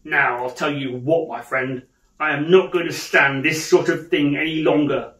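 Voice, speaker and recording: A man's voice: a little high, fairly even intonation, a bit bright, echoey room, lightly acted. Man doing a growling, stern old miser boss. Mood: mock stern. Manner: curt, menacing, deliberate.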